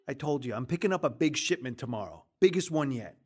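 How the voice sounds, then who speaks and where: An actor playing a young husband who deals drugs, in a radio drama, sarcastic and dismissive. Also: male voice, medium pitch, expressive, fast talker, close to an everyday voice. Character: young husband, a brash drug dealer.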